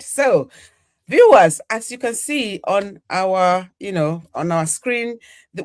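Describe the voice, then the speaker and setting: An older woman from Nigeria hosting a live online panel, chatty and full of "you know" fillers. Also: medium pitch. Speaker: podcast host.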